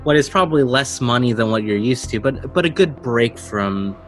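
A man's voice: medium pitch, expressive, normal speed. Man, game master, tabletop narration, mid-range voice, background music. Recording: music under the voice.

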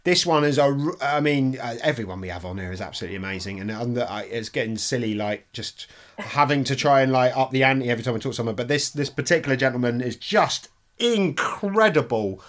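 A man's voice: mid-range voice, fairly quick, a bit bright. Jokey, laughing man co-hosting a podcast. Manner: jokey, self-mocking, laughs often. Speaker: podcast co-host. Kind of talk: casual podcast banter.

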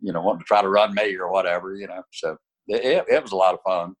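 A man's voice: sits low, quick pace. An older man, a racing champion guest, answering interview questions in a folksy way, dropping "you know" often. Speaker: guest racer.

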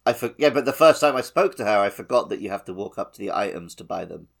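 A man's voice: lowish voice, animated, fast talker, gravelly. A man co-hosting a gaming podcast in a casual, playful, jokey way. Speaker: podcast co-host.

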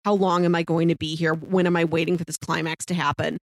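A woman's voice: sits low, little pitch movement, fast, no room sound, clean studio sound. A woman co-hosting a podcast, casually thinking aloud in a reflective, analytical way. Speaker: podcast co-host. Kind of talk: casual TV discussion.

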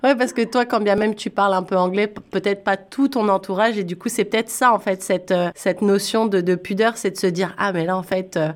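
Woman, radio interviewer, mid-range pitch, conversational, chatty, explanatory. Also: brisk, hardly any pauses. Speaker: radio host and music interviewer.

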